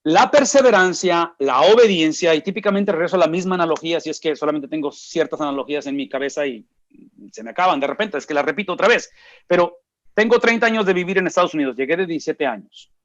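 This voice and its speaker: A man's voice: fairly high pitch, fast. A Mexican man in his forties leads a Bible study. He speaks in a slightly raised register and presses each point home by asking himself questions, then answering them. The recording sounds dull and lo-fi. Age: 40s. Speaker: Bible teacher. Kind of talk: Bible study teaching to a group.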